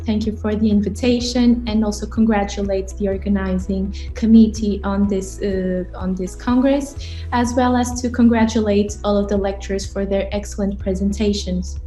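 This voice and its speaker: A woman with a Portuguese accent giving an academic presentation as a professor, speaking haltingly with repeated words, in a roomy recording like an online call. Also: medium pitch, dark tone. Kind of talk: academic lecture.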